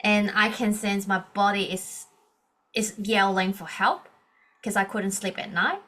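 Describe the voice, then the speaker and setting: A woman in her thirties with a Hong Kong accent and a bright, clear-edged voice, her first language Cantonese. She tells her story earnestly as a podcast guest, restarting phrases often as she searches for words. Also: medium pitch, measured pace. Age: 30s. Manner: earnest, with frequent restarts and non-native grammar. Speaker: guest, leadership coach. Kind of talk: podcast interview, personal story.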